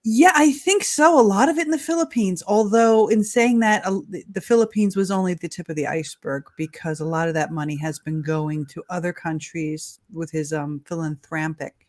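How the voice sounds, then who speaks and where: A woman's voice: lowish voice, darkish tone, no room sound. Guest spot: blunt, chatty New York woman in her 50s, Long Island accent, dry close sound. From New York, USA. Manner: chatty, blunt, quick to laugh. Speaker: guest, consumer advocate. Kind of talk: casual podcast interview.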